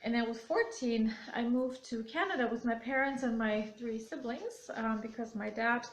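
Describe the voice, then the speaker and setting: A woman's voice: a little high, normal speed, roomy sound, poor audio. A woman co-hosting a family vlog, talking casually with frequent ums, recorded on a phone camera. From Germany. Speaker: vlog co-host.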